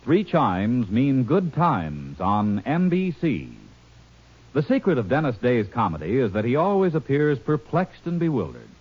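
A husky male announcer delivers scripted promos with animated swings in pitch. It has the dull tone of 1950s radio. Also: a little slow. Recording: muffled old radio recording.

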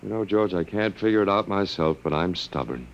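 An actor playing a sardonic, stubborn human prisoner with a dry wit in an old radio drama. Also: male voice, low-pitched, lively intonation, fairly quick, clean tone. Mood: stubborn, defiant. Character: wry, resigned, stubborn human prisoner of aliens. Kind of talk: 1950s radio drama acting.

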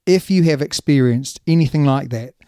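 A man's voice: mid-range voice, normal speed, dark tone, smooth, dry recording, clean studio sound. A man co-hosting a casual rugby podcast, chatting with his mate and reading out listener emails. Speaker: podcast co-host. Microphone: studio podcast mic.

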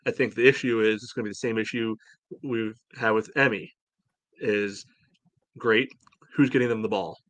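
A man's fairly low voice, recorded dry with little room sound. He talks informally and a bit wryly, as a panelist on a sports podcast. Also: steady tone, moderate pace, pauses often, a bit bright, no room sound. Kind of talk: casual sports podcast discussion.

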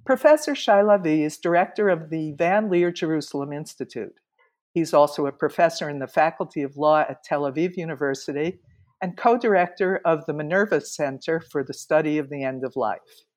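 A woman hosting an interview show, with a somewhat low voice. She reads her introduction in a formal, measured manner. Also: a little mellow. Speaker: podcast host. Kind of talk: scripted introduction and interview questions.

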